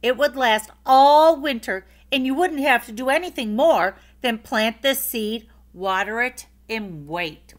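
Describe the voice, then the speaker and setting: Storybook: woman playing a tiny clever leprechaun, squeaky and wheedling, lively pitch. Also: a little slow, hardly any pauses. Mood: coaxing. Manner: pleading, wheedling. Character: clever pleading male leprechaun shoemaker.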